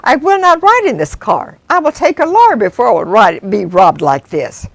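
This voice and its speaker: A woman's voice: high voice, big pitch swings, moderate pace, no room sound, voice pushed up. A woman voicing a polite but anxious young wife on her first trip abroad, pleading and indignant by turns.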